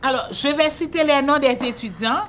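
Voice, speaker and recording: A teacher introducing herself to a class in a slow, playful, clearly spoken way. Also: female voice, high-pitched, over loud music.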